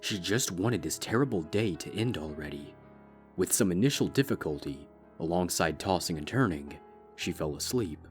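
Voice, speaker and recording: Deep-voiced male story narrator. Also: animated, moderate pace, faint music behind. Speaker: fanfic reader. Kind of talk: scripted narration.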